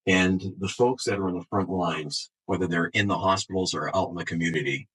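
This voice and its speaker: A man speaking as an executive guest in an interview, answering off the cuff in a halting way, repeating words and restarting phrases. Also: low-pitched, a bit bright, husky, rough recording. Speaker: health system chief executive, interview guest.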